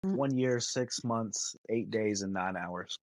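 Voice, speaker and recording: Podcast co-host, middle-pitched, conversational, deadpan humour, close and free of room echo. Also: takes it slowly. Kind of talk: casual movie discussion.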